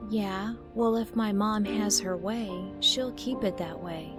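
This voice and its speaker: A woman's voice: medium pitch, slow, loud music behind the voice, close to an everyday voice. A young woman playing a guarded teen girl. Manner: wry and dismissive. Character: troubled teenage girl, guarded, dry. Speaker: daughter.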